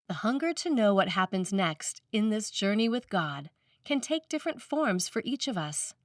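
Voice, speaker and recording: Female preacher, mid-pitched, scripted sermon delivery, measured phrasing, dry but slightly off-mic. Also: no echo. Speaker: priest reading a sermon. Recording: slightly distant microphone, dry room.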